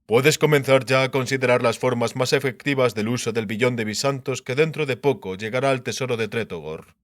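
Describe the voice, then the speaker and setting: A man voicing a shrewd, authoritative king who speaks deliberately in an audiobook. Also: medium pitch, no room sound, studio quality, lightly acted. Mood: commanding, confiding. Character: shrewd, commanding male king speaking confidentially. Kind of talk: audiobook character dialogue.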